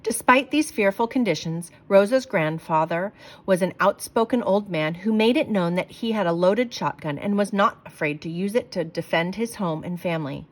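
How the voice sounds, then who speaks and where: A woman's voice: mid-range voice, moderate pace. Woman reading a children's book aloud. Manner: clear, expressive storytelling.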